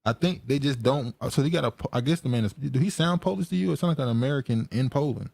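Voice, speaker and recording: A man hosting a casual podcast chat, musing aloud with halting restarts and frequent laughs. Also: medium pitch, gravelly, darkish tone, dry recording. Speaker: podcast host.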